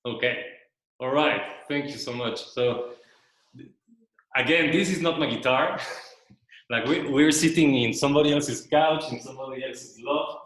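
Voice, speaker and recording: Slow-speaking man with a Spanish first language in an echoey room. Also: mid-range voice, fairly bright tone. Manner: slow, searching, repeats words while thinking. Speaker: presenter. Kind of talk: casual spoken presentation. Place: loft room with a small audience.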